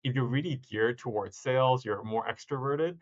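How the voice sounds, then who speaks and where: A man, a startup founder, answering interview questions in a reflective, halting way with frequent restarts. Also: medium pitch, dull audio. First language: not stated. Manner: reflective, many restarts and self-corrections.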